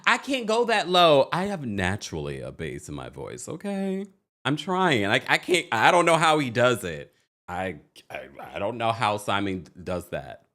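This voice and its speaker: Male livestream host, casual and playful, highly animated delivery, exclamatory gossip commentary. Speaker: host of a reality TV recap show.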